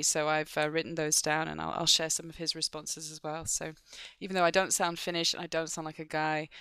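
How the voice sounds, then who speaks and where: Female interviewer, chatty and friendly, low-ish, very clean tone, dry close recording. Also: a bit bright, smooth, no room sound. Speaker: interviewer, host. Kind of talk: podcast interview.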